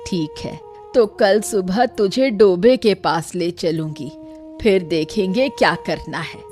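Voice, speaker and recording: A woman playing a caring, practical old village aunt in a Hindi animated moral story, speaking in a measured, plain way. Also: mid-range voice, soft background music, natural voice.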